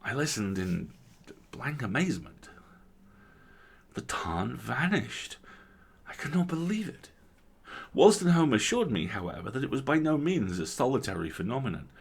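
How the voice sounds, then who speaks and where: A man reading a literary story aloud as a dramatic narrator, with theatrical, emphatic phrasing and deliberate pauses. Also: mid-range voice, sing-song, gravelly, breathy edge. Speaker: story reader, host.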